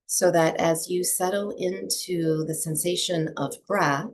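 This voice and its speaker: A woman teaching breathwork and meditation to a small group, speaking softly and soothingly with long pauses between phrases. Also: on the low side, steady tone, a little hiss or hum. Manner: soft, unhurried, soothing delivery with long pauses.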